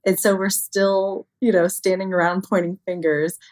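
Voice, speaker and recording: A woman's voice: medium pitch, little pitch movement, normal speed, a little mellow. A woman speaking as an expert guest in an interview, explaining things in an informal, conversational way with everyday examples. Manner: frequently chuckles, informal, illustrates with acted-out quotes.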